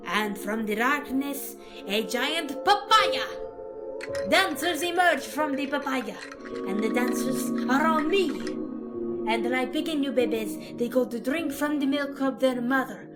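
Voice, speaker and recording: A woman acting an eccentric, imperious artist spirit in a fan audio drama, with a dramatic, drawn-out, declamatory delivery. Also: high-pitched, expressive, over loud music. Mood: grand, theatrical.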